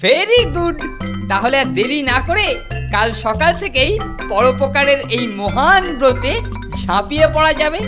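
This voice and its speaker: A woman's voice: high-pitched, expressive, unhurried, over music. A woman voicing a boastful, bossy teenage boy in a cartoon, lecturing others in a pompous, mock-grand way. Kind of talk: cartoon dub acting.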